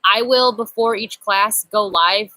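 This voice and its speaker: A woman's voice: mid-range voice, measured pace, no echo, poor audio. A young woman hosting a casual live stream from an outdoor patio, chatty and upbeat, picked up by a laptop webcam. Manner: chatty, upbeat, self-described loud voice. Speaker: channel host.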